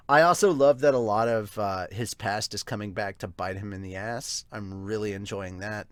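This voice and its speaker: A man's voice: fairly low pitch, measured pace, no room sound. Giggly man reacting to fan comments, close dry sound. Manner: excitable, giggly, sweary. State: hiccuping. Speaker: host of a manga review show.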